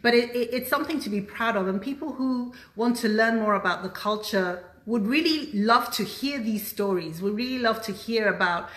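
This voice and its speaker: A woman hosting a podcast in casual conversation, enthusiastic and quick to affirm with short interjections. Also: a little high, fairly bright tone. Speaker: podcast host. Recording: roomy, echoey sound.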